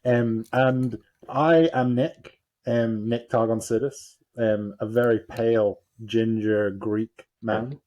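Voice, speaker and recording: A man hosting a casual podcast chat in a relaxed way, with chuckling asides. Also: mid-range voice, slow. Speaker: podcast host.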